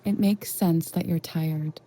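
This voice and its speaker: Slow, very mellow woman guiding meditation. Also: on the low side, fairly even intonation. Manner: gentle, reassuring, unhurried with long pauses. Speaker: guided meditation host. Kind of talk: soothing guided meditation narration.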